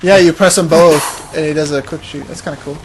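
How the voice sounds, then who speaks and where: Higher-voiced man reacting during noisy gameplay. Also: steady tone, brisk. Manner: brief reactions and questions, mild slangy exclamations. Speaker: gaming podcast co-host. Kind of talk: casual chat and reactions while playing a video game. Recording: noisy amateur recording with clicks, game audio.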